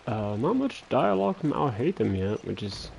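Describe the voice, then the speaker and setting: A man's voice: medium pitch, sing-song, moderate pace, gravelly, noisy background. A man giving casual, wry commentary as he livestreams a video game, laid-back in manner. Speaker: game streamer.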